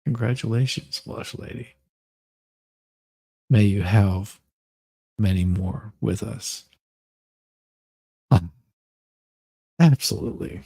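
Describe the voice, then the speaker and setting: A man hosting a livestream, moving between casual chat and mock-dramatic readings with theatrical, drawn-out emphasis. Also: fairly low pitch, creaky, warm tone, husky, dry recording. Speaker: livestream host.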